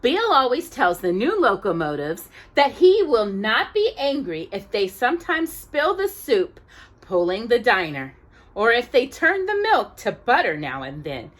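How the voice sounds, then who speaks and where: A woman reading a children's story aloud expressively, as if to young listeners, pausing to check in with them. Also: a little high, huge pitch swings, a bit bright. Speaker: storytime reader.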